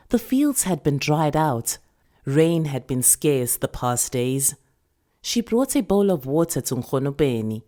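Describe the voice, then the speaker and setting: A woman's voice: extremely low pitch, darkish tone. A woman with a South African accent reading a literary short story as a scripted narration.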